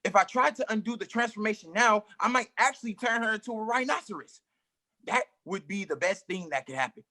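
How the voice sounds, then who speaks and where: A fretful young magician explains himself in a bright, ringing voice, as a woman reads him at a table read. He starts out earnest and level, then his words jump up quick and high as his nerves show. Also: expressive. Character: nervous young male magician, boastful and unsure.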